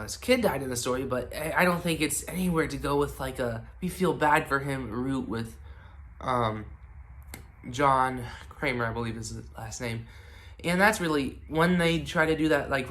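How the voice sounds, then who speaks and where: A man's voice: fairly high pitch, fairly bright tone. Congested man rambling through a review. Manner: rambling and hesitant, full of ums and restarts. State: congested, stuffy nose. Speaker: movie reviewer. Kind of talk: casual spoken movie review.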